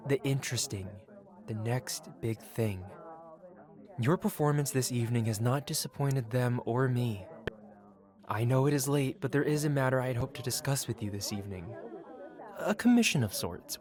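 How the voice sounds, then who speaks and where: A suave, wealthy dragon patron played by a man in a romantic fantasy audio roleplay. He speaks in courtly, flowery phrases at a medium male pitch, addressing one listener intimately, with a possessive streak beneath the polish. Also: natural voice. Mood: gracious, courtly.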